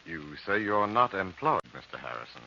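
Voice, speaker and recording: An actor playing a condescending loan officer in a 1940s radio drama, deliberate and formal. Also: male voice, low-pitched, sing-song, vocal fry, soft background music, heavily acted. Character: cool, condescending loan officer turning down a borrower. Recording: dull, hissy old radio recording.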